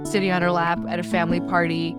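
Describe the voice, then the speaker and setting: A woman's voice: on the low side, flat delivery, fast talker, over loud music. A woman in her forties, an interview guest from Iran, telling a personal story in an earnest, conversational way. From Iran, moved to the US as a child. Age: 40s. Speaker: interview guest, law professor.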